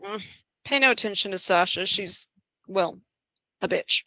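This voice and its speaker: A woman's voice: medium pitch, a little slow, sharp tone, dry recording. A woman playing a snide, gossipy young patient with dry, needling delivery in a horror table read.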